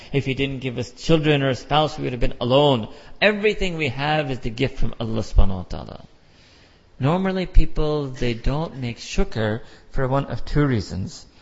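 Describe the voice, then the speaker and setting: A middle-aged American man giving an emphatic sermon with repeated phrases and rhetorical questions. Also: medium pitch. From United States. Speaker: imam. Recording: dull lo-fi sound with long hall reverb.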